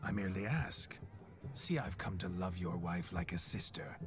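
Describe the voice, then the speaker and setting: A man's voice: low voice, normal speed, noisy background, lightly acted. A man playing a rakish, boisterous nobleman spirit. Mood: jovial. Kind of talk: video game cutscene dialogue. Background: music.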